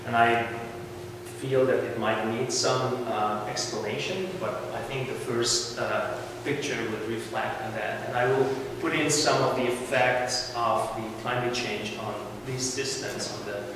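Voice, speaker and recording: A man with a Hungarian accent giving a conference presentation as a university lecturer, speaking haltingly and often correcting himself. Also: mid-range voice, fairly even intonation. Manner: halting, with frequent "uh" fillers and self-corrections. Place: large lecture hall. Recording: echoey hall, mic far off, noisy.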